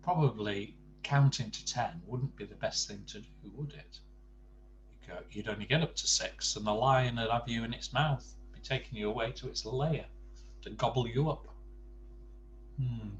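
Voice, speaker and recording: An older man reading a bedtime story to grandchildren, expressive, with chatty asides and questions to the young listener. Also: mid-range voice, normal speed, highs cut off, slight background noise.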